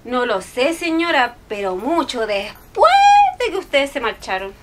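A woman's voice: a little high, very animated, a little slow, fairly bright tone, slight background noise. A woman with a Chilean accent playing a deferential, folksy housemaid in a radio comedy. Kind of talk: radio play comedy acting.

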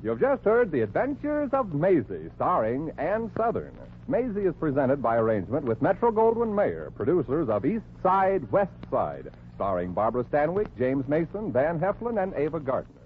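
A male announcer from 1940s radio reads his script with animated, fairly high pitch. The sound is muffled, with music loud behind him. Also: moderate pace. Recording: muffled vintage radio recording.

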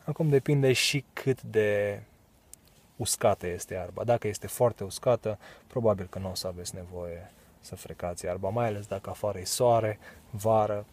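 A man in his thirties from Romania, explaining bushcraft in a relaxed, casual way in leafless woods, with fillers and short laughs. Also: on the low side. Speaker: bushcraft vlogger. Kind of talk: casual outdoor tutorial. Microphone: camera close, handheld then set on ground.

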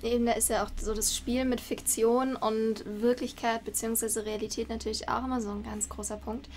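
A woman's voice: on the high side, flat delivery, fairly quick, a little mellow. A woman in her thirties interviewing conversationally on a video call, with wordy, roundabout questions. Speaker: interviewer, university lecturer. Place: room with bookshelves. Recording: clear background noise, hum.

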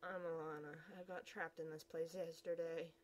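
A woman voicing a lost little girl who tells her story in a soft, hushed way. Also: deep voice, level tone, normal speed, voice pushed down, close to an everyday voice.

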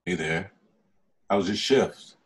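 A man reading the part of a smooth, mysterious, smirking online flirt in a film table read, deep-voiced, terse and probing. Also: slow, full character voice.

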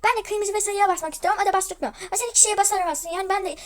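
Woman voicing a whiny, high-pitched small child. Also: very fast. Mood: whiny, complaining. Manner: whining, repetitive pleading. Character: whiny, excitable small child on a family outing.

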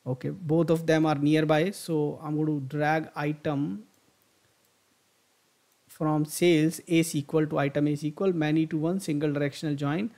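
A man narrating a screen-recorded software tutorial, thinking aloud with frequent fillers and restarts as he clicks through steps. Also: fairly high pitch, steady tone, moderate pace, vocal fry. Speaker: software instructor.

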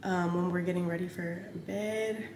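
A woman's voice: lowish voice, fairly even intonation, measured pace. A woman in her thirties chatting casually to camera as a lifestyle vlogger, rambling with lots of fillers, her throat a bit scratchy. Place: large bright home kitchen. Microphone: camera on a counter, mid-shot. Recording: echoey kitchen with faint room noise.

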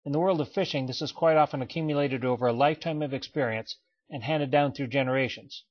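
A man giving a scripted voice-over for a training slideshow, in a measured, explanatory way. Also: medium pitch. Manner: measured, even explanatory delivery. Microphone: voice-over, not recorded in pictured place. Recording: dull lo-fi voice-over.

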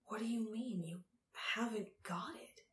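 A woman's voice: mid-range voice, animated, unhurried, a bit bright, echoey room. A woman voicing an earnest, desperate boy in an audiobook story, with an urgent, pleading manner. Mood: hushed dismay.

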